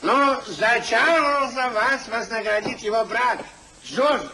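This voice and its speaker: An actor playing a fussy, sentimental old gentleman in a theatrical 1950s Russian radio play, earnest and effusive. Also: very high voice, takes it slowly, slight background noise, full character voice, rough recording. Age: older.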